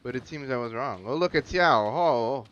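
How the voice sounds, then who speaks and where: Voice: medium pitch, lively intonation, normal speed, a bit bright, dull audio. A video game streamer giving casual, slangy, playful reactions while playing. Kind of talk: casual gameplay commentary. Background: game music.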